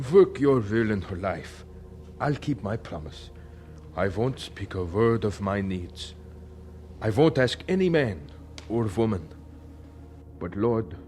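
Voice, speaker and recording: An actor playing a devout young preacher in an audio drama, humble and earnest, with a hesitant, halting delivery. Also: male voice, on the low side, a little mellow. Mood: prayerful.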